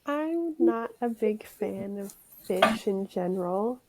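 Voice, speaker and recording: A young woman co-hosting over a video call through an earbud mic. She talks very slowly with a gravelly edge, soft-spoken and giggly. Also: mid-range voice, lively intonation, background noise. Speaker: podcast co-host. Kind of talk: casual video-call interview. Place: room at home, indoors.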